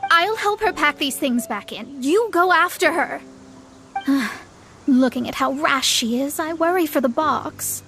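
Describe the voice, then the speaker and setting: A sensible young woman in a video game, her voice high and bright. She speaks calmly and drily, sighing over others' rashness. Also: unhurried, heavily acted. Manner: calm, dry, sighing.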